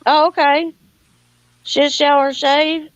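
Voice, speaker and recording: Slow-paced woman chatting on a lo-fi livestream. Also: high-pitched, level tone, dry recording. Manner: folksy, calls listeners honey. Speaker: livestream host. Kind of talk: casual livestream chat, reading viewer comments. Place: outdoors, on a phone. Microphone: phone.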